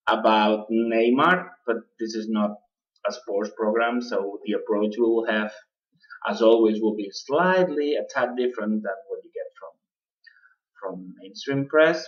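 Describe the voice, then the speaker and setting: Guy doing his radio talk show, lots of uh and restarts, kinda far from the mic, dull lo-fi sound. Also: lowish voice. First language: not English. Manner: halting, many uh fillers and restarts. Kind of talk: political commentary monologue.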